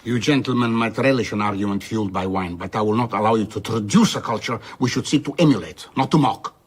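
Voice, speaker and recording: A man's voice: fairly low pitch, fast talker, husky. An actor playing an earnest, indignant traveller in an audio drama, defending his ideals formally and with passion. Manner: formal, impassioned.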